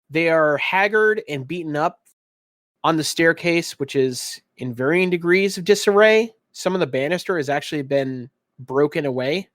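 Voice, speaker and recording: A man with a fairly high voice runs a tabletop roleplaying session. He describes each scene in detail as host and game master. The sound is dry, with hardly any room in it. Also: dry recording. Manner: descriptive, scene-setting narration.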